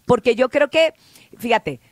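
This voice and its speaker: A woman's voice: a little high, expressive, measured pace, no echo. A woman hosting a radio show, chatty and reflective in a studio. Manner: chatty, reflective, laughs often. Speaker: radio host. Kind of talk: casual radio talk.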